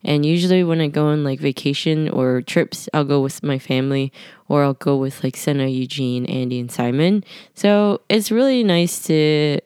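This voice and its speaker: Low-voiced young woman, nasal and rambling. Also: moderate pace, a little mellow, clean tone, dry recording, clean studio sound. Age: young adult. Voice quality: slightly nasal. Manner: rambling, many "like" fillers, scatterbrained. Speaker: podcast diarist. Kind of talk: casual personal voice diary. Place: home at night.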